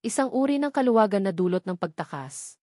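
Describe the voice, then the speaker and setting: A woman's voice: mid-range voice, expressive, brisk, darkish tone, no echo, clean studio sound. A woman with a Filipino accent reading a scripted romance story as a dramatic narrator.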